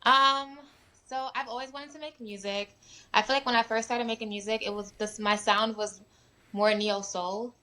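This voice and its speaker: A woman's voice: fairly high pitch, normal speed. A young woman from New York, chatting casually as a guest musician with lots of "um" and "like". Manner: relaxed, many fillers like "um" and "like". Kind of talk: casual interview chat. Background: sirens at times.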